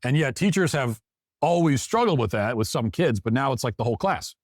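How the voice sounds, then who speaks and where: A man's voice: mid-range voice, lively intonation, fairly quick, no room sound, clean studio sound. A man hosting a talk show, giving emphatic opinion commentary and repeating phrases for stress.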